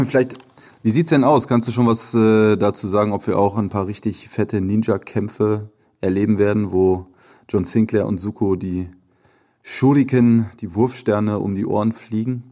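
A man hosting a podcast in casual chat, putting questions with a playful, halting delivery. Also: fairly low pitch, dark tone. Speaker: podcast host.

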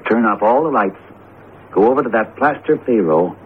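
An actor playing an emphatic, deliberate male professor in a 1970s radio drama. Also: lowish voice, expressive, natural voice. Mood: grimly determined. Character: jumpy, determined male psychology professor fighting an evil statue. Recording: noisy, tinny 1970s radio broadcast.

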